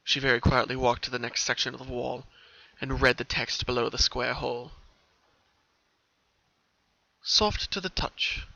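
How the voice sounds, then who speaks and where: A man's voice: mid-range voice, completely flat delivery, fairly quick, gravelly, bright, forceful tone, dry recording. A man giving a dramatic scripted narration as a fan fiction reader. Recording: dull, muffled home recording.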